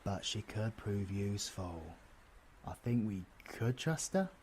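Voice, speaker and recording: A man's voice: on the low side, animated, slow pace, a little mellow, breathy edge, heavily acted. A British man playing a cocky, sardonic, foul-mouthed but affectionate supervillain in an audio roleplay, with slangy, teasing delivery. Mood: earnest, low-key. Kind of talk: audio roleplay drama acting.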